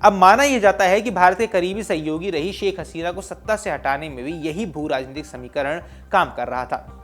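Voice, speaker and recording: A man presenting scripted news in an emphatic, explanatory way. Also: sits high. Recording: voice over music bed. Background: background music.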